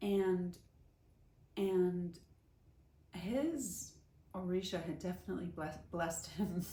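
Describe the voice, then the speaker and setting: A woman's voice: on the low side, slow, warm tone. A woman in her forties telling a personal story in a candid, conversational, emphatic way. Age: 40s. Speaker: storyteller, vlogger. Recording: roomy echo, faint background noise.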